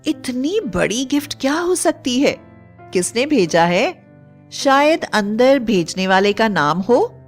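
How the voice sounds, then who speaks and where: A woman voicing a curious young wife in a storybook tale, questioning and expressive. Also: on the high side, slow, faint music behind, pitched above the performer's own voice, full character voice.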